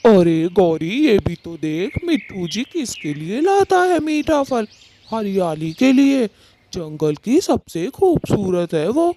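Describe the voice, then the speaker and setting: A woman acts out a vain, lecherous male crow in a cartoon tale. The voice is mellow and gravelly, drawling slowly with animated swoops. He swaggers and taunts. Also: medium pitch, exaggerated voice. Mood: mocking. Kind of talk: Hindi cartoon moral story dialogue.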